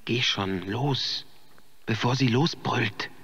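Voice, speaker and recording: A man's voice: mid-range voice, takes it slowly, slightly rough, big-room sound, muffled recording, full character voice. An actor playing a nosy young male onlooker in a radio drama, muttering conspiratorially. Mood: furtive, mocking. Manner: hushed, conspiratorial.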